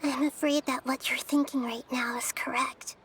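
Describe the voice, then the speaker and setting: A woman voicing a gentle, wise young girl deity in a video game, speaking in a measured, earnest way. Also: high-pitched, little pitch movement, background noise, lightly acted. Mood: gentle, solemn.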